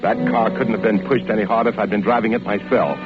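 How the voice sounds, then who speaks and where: Actor as anxious, low-voiced husband in radio drama. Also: male voice, fairly even intonation, natural voice. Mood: tense recounting. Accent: American. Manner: hesitant, halting, intense. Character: anxious, insistent architect husband searching for his wife. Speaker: actor and narrator. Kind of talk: 1940s radio acting. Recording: dull old radio recording with music.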